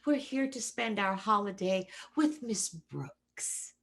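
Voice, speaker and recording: A slow, coaxing middle-aged wife. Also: female voice, medium pitch, expressive, full character voice. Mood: chiding. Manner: cajoling, gently chiding. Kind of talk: old-time radio comedy acting.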